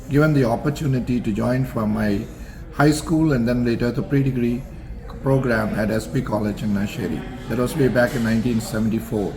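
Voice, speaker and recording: An older man from Singapore speaks in a measured, formal manner, pausing often with fillers as he reminisces. His voice sits at an ordinary middle pitch for a man. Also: low-quality recording. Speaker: interview guest, alumnus. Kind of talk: reminiscing interview answers.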